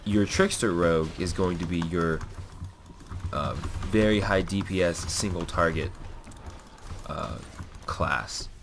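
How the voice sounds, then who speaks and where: A young man with a low, deep voice that sounds stuffy and nasal from a cold. He rambles through casual commentary on his own gameplay, filling the gaps with "uh" and "you know." Faint keyboard tapping sits behind him at the desk. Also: slow, creaky, pauses often, a little hiss or hum. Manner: rambling, frequent fillers and self-corrections. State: has a cold.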